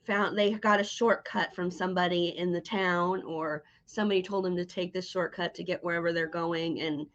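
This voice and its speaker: A woman's voice: fairly low pitch, fairly even intonation, normal speed, few pauses, highs cut off. A woman hosting a casual, conversational interview, chatting along as she asks questions and shares opinions. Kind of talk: casual chat about horror.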